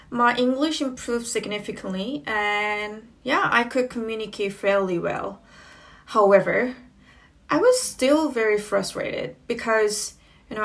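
Slow, careful woman, Korean native. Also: a little high, fairly bright tone. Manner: slow, careful, friendly with fillers like "you know" and "um". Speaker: English learning vlogger. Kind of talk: casual tips to camera. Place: small room indoors at home.

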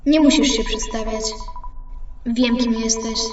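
A woman's voice: on the high side, steady tone, a little slow, muffled recording, slight background noise, close to an everyday voice. A woman in an amateur fan dub voicing a scheming, falsely sweet and menacing double, with taunting, dramatic delivery. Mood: taunting, mock-friendly. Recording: very echoey, distant, music underneath.

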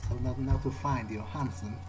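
A man's voice: mid-range voice, fairly even intonation, normal speed, over music. A man doing in-character game commentary, wryly thinking aloud as he plays. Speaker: gamer playing in character. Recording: clearly noisy, game ambience behind.

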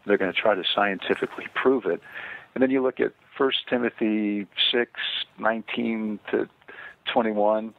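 An older man, an author and guest on a radio interview, speaking earnestly and measuredly with frequent pauses and fillers. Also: on the low side, steady tone, gravelly, dry recording. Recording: tinny phone line, dry.

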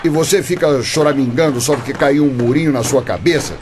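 An actor playing a dismissive, crooked construction boss in a Brazilian radio soap, scornful and belittling. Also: male voice, mid-range voice, brisk, a little mellow, a little hiss or hum, close to an everyday voice. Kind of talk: radio soap opera acting.

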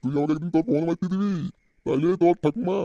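A man's voice: fairly high pitch, racing, muffled recording, lightly acted. A man playing a lecherous, jolly old village grandfather who teases crudely in an amateur comedy cartoon. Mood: sly. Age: older. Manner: crude, teasing, cackling. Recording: clearly noisy background.

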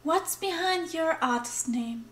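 A woman hosting her art channel, reading a brisk scripted intro and interview questions. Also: very high-pitched, expressive, slight background noise. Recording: echoey room.

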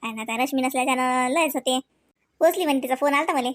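Woman voicing cartoon village woman, rural Varhadi Marathi accent, chatty, homely, high-pitched, rapid gossipy delivery. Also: a bit bright, dry recording, clean studio sound. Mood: homely, concerned. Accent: Varhadi Marathi, rural Vidarbha. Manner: gossipy, colloquial, drawn-out kinship exclamations.

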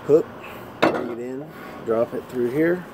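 A man in his thirties, a flatbed truck driver, giving a casual how-to on his phone camera outdoors beside his trailer, rambling, restarting and cursing freely. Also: mid-range voice, slow. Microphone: handheld phone camera, close. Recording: loud outdoor noise. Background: traffic and vehicle noise.

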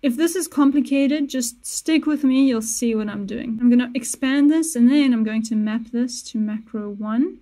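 A young woman hosting a casual music-production tutorial, talking through each step in a relaxed way with small self-corrections, recorded on a studio mic in a small home studio. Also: fairly high pitch, moderate pace, few pauses. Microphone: studio mic on boom with headphones.

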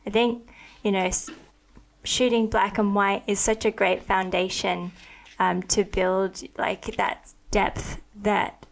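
A young woman hosting a podcast interview, talking in an enthusiastic and thoughtful way with many likes, into a boom-arm mic in a home studio. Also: level tone, slow pace, vocal fry. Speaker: podcast host. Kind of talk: conversational interview. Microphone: studio mic on a boom arm with headphones.

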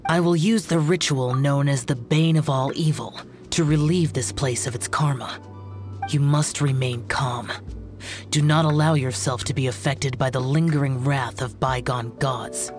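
A man's voice: medium pitch, fairly even intonation, measured pace, soft background music, close to an everyday voice. An actor playing an aloof young male demon-hunting warrior in a video game, speaking in a formal, cold and grave way.

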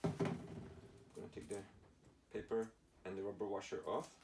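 A man's voice: fairly low pitch, little pitch movement, a little slow, a little mellow, slight background noise. A man in his thirties casually explaining each step of a home DIY setup in plain, matter-of-fact fragments, recorded in a garage workshop on a camera mic. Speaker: DIY how-to host.

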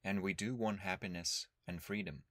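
A man leading a guided meditation as a teacher, speaking in a calm, measured, instructional way with long pauses. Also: sits low, dry recording, studio quality.

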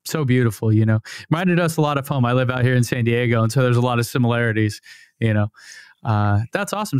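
A man in his thirties hosting a podcast, chatting in a relaxed, friendly way at a studio mic in a dim home studio. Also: medium pitch, clean tone, no echo. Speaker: podcast host. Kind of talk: casual interview chat. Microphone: studio mic on boom, headphones.

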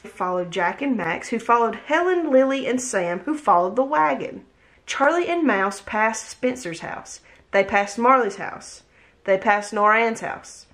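Woman gently reading a picture book. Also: a little high, measured pace. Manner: gentle, expressive storytelling. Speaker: read-aloud host. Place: home room, indoors. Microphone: webcam at desk distance.

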